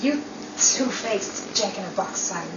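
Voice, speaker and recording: A woman playing a jealous, sharp-tongued young wife in a theatrical old-time radio style. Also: mid-range voice, lively intonation, unhurried, close to an everyday voice. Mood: catty, biting. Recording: roomy, distant, muffled, hissy amateur recording.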